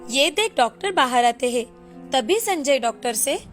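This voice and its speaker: Woman telling a moral story, pretty high voice, scripted storyteller delivery. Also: lively intonation, moderate pace, soft background music. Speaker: story narrator.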